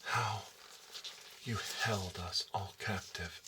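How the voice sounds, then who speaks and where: A man performing an ASMR role-play as an eerie, softly menacing technician, in a breathy whisper with lingering, theatrical, repetitive phrasing. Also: fairly even intonation, slow, recorded from a distance, noisy background. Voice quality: breathy whisper mixed with soft low speech. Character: eerie male memory removal technician, softly menacing, nostalgic. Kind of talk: ASMR role-play, intimate dramatic monologue.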